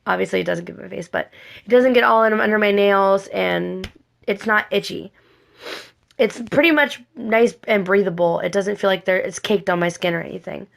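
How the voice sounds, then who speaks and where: A woman talks through a makeup tutorial in a casual, rambling style, repeating and correcting herself as she goes. Her voice sits at a middle pitch with a noticeable creak. A lingering cold leaves it a little hoarse and scratchy. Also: normal speed. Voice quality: slightly raspy, scratchy. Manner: rambling, repetitive, self-correcting. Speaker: cosplay makeup vlogger.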